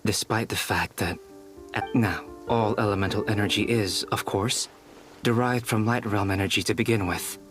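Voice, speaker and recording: A man playing a calm, erudite young alchemist in a video game, speaking in a composed, precise, scholarly manner. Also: lowish voice, moderate pace, music in the background, close to an everyday voice. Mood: absorbed, musing. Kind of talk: scripted video game voice acting.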